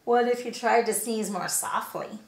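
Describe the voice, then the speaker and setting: A woman's voice: a little high, steady tone, moderate pace, echoey room, voice pushed down, close to an everyday voice. A woman voicing a concerned, friendly storybook pig with a questioning, exclamatory manner.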